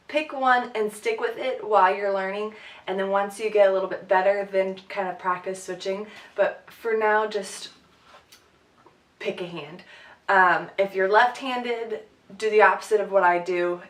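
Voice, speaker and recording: A woman's voice: medium pitch, a bit bright. A woman with a cold, a bit short of breath, teaching a casual sign language lesson with lots of ums and light laughs. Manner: chatty, many "um"s, light laughs. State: sick, sniffly, short of breath. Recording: echoey room, far from mic.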